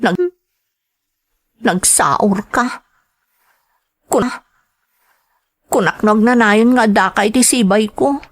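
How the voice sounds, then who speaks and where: A woman's voice: fairly high pitch, slow, long pauses. A woman acting in a radio drama as a tender, clinging young woman, with an Ilocano accent and an emotional manner. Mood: hurt, pleading.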